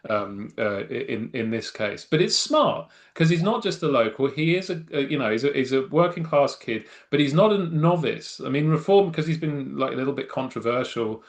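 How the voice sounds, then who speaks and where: A man's voice: medium pitch, lively intonation, normal speed, few pauses. A middle-aged man speaking as a political science guest, giving thoughtful, wry analysis with frequent restarts and fillers. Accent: non-Mancunian English. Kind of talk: conversational expert analysis.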